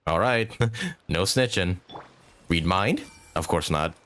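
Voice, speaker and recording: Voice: low-pitched, lively intonation, takes it slowly, a bit bright, slight background noise. A game streamer giving casual, giggly live commentary while musing aloud.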